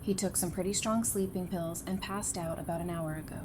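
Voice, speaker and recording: A woman's voice: fairly low pitch, steady tone, fairly quick, natural voice. A woman playing a grieving, devoted partner and mother in an audio drama, on a noisy recording. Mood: concerned, tender. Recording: noisy home recording, background hiss.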